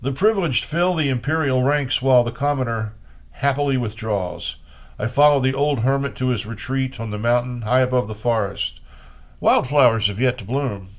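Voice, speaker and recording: A man reading poems aloud as host, in an unhurried way with pauses between short phrases. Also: mid-range voice. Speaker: poetry reader and host. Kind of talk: poetry reading with brief spoken commentary.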